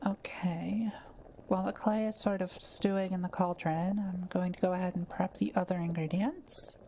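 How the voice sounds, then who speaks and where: A woman playing a kind, quiet witch in an audio roleplay, speaking gently and carefully as she reassures and explains things to the listener. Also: fairly low pitch, steady tone, normal speed, a little hiss or hum, lightly acted, rough recording. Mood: thoughtful, focused. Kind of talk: soft-spoken roleplay audio, talking to the listener.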